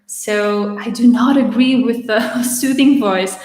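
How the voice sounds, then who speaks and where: A woman in her twenties with a raspy voice, hosting a casual Q&A livestream and chatting through viewer questions with a few restarts, speaking with some strain. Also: fairly high pitch, fairly even intonation, slow pace, darkish tone. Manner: chatty, with frequent restarts and laughter. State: having trouble speaking. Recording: roomy echo with long reverb.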